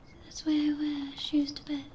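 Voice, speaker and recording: A woman playing a dreamy, whimsical young schoolgirl in an ASMR fantasy roleplay, soft and whispery with an airy way of musing. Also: high-pitched, completely flat delivery, normal speed, a little hiss or hum, close to an everyday voice.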